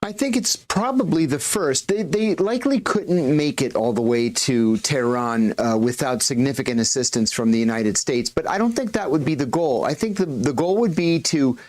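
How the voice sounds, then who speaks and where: A male commentator on a TV news clip with a voice of average pitch. He speaks in a measured, confident way and pauses now and then to find the word. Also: expressive, darkish tone. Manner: measured, confident, a few hesitations. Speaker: commentator interviewed on TV. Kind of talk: TV news interview.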